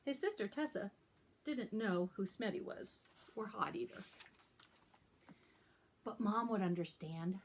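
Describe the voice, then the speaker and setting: A woman hosting a children's storytime as a librarian, reading aloud and talking to young listeners in simple, deliberate phrases. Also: mid-range voice, animated, slight background noise.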